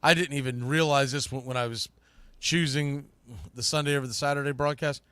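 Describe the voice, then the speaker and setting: Bright, punchy American sports host. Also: male voice, medium pitch, fairly even intonation, a little fast, clean tone, dry recording. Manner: emphatic, opinionated, folksy. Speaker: baseball podcast host and broadcaster. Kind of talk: off-the-cuff sports commentary.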